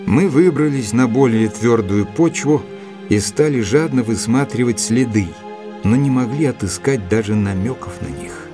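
An actor playing a sober male doctor who narrates a detective story in measured, literary phrasing for an audio drama. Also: mid-range voice, lightly acted. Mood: measured, grave. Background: music.

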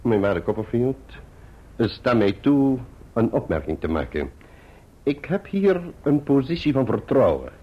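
An actor playing a pompous, genteel middle-aged clerk in a radio drama, florid and wordy with deliberate pauses. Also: male voice, medium pitch, big pitch swings, husky. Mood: guarded, dignified. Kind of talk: theatrical radio drama acting. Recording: muffled, dull old radio drama recording.

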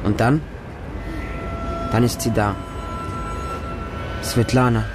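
An actor playing a frightened, devoted street child who lives with dogs, telling the story in short, simple sentences for a radio drama. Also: male voice, mid-range voice, flat delivery, slow pace, noisy background, close to an everyday voice. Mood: plaintive, earnest. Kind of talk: first-person radio drama monologue. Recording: music under the voice. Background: music.

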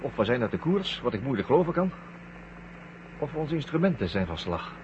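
An actor playing an excitable, anxious astronaut crewmate in a 1970 science-fiction radio drama, urgent and questioning. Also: male voice, mid-range voice, a little fast, natural voice. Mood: concerned. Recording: muffled, noisy old radio drama recording.

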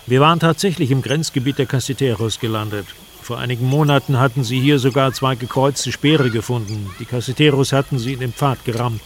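A man's voice: mid-range voice, fairly quick, few pauses, slight background noise. Guy telling a first person travel story for a radio play, medium voice, faint bugs in back. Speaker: narrator.

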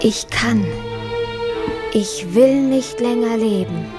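A lovesick young invalid, her voice fairly high and unhurried. She pleads with fervent longing in radio-drama style over clearly audible violin music. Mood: desperate, imploring. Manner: slow, yearning, imploring declamation. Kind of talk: letter read as dramatic monologue in radio drama. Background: violin music behind.

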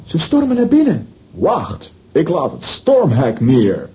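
High-voiced, earnest adventure hero. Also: male voice, wildly swooping pitch, takes it slowly, heavy background noise, exaggerated voice. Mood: urgent. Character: earnest, brave male hero.

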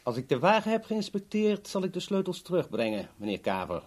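Courteous armed boss, higher-pitched, lively melodic speech, formal and smoothly menacing radio-play villain. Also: male voice, fairly quick. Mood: smooth menace. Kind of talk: 1970s radio play acting.

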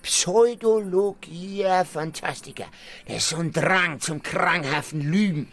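A man playing a slow-witted, colloquial deputy, taking dictation in a comic radio play. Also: fairly high pitch, a bit bright, faint music behind. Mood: gossipy. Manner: colloquial, hesitant, easily puzzled.

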